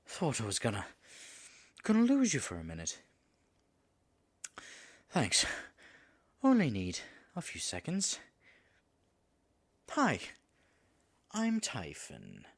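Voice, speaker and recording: A man's voice: medium pitch, wildly swooping pitch, slow, creaky, long pauses, husky, full character voice. A man voicing a flustered, flirtatious dragon in human form in an audio roleplay, stammering nervously and muttering asides to himself. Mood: breathless, friendly. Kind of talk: audio roleplay addressed to the listener.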